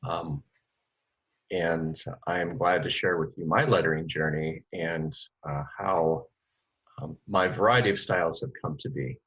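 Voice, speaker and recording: A man with a very deep, husky voice speaks as a guest lettering artist. He explains his approach in a measured, articulate way. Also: steady tone, a bit bright, poor audio. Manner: measured and articulate, with occasional fillers. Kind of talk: casual interview answers.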